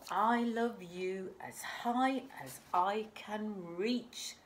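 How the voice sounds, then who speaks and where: A woman voicing an eager, affectionate young hare from a storybook, speaking slowly and emphatically. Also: mid-range voice, a little hiss or hum, pitched above the performer's own voice. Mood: eager, loving.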